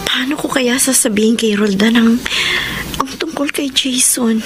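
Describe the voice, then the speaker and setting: A Filipino actress playing a troubled, torn young mother in a radio drama, soft and hesitant. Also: a little high, normal speed, over loud music, close to an everyday voice. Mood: worried, hesitant.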